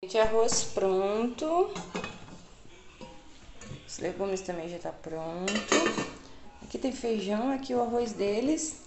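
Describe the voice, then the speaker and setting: A woman's voice: mid-range voice, background noise. A woman in her thirties casually talking viewers through her home cooking, step by step, in a small tiled kitchen. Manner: chatty, explains each step to viewers. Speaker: cooking vlogger. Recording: echoey tiled kitchen with clattering pans.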